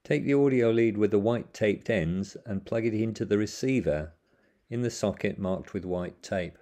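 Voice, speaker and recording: Guy with a lowish mellow voice walking you thru a setup, calm and methodical, trips on a word now and then. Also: moderate pace. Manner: plain, methodical instructions with small slips. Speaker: instructional narrator. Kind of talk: step-by-step how-to voice-over.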